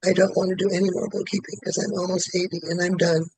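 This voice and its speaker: A man in his late seventies speaks in a high, flat voice, close and dry. He repeats the same statement again and again at a brisk, steady clip as a client in a tapping session. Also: no echo, poor audio. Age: 70s. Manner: repeats one statement over and over in a quick, even rhythm.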